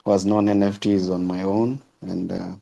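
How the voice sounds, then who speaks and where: A man from South Sudan, a guest on a casual podcast call, speaking haltingly and repeating words as he starts. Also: deep voice, level tone, vocal fry, dark tone. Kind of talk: casual chat on a group audio call.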